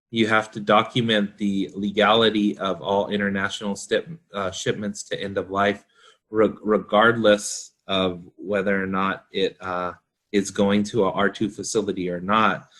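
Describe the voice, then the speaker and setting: Low-voiced man, halting explainer. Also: steady tone, fairly bright tone. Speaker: consultant discussing a recycling standard. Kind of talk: explanatory panel discussion.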